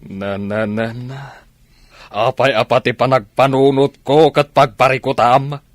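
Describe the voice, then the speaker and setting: A man's voice: medium pitch, fairly even intonation, measured pace, no echo, clean studio sound, lightly acted. A man with an Ilocano accent playing an irritable, evasive philandering husband in a radio drama. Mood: annoyed, defensive.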